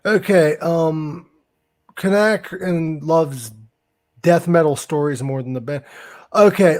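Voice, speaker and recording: A talkative man hosting a casual podcast, with a voice pitched a little high. He chats freely and is quick to correct details with a slightly fussy precision. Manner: chatty, fact-correcting, laughs at dark humor. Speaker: podcast host.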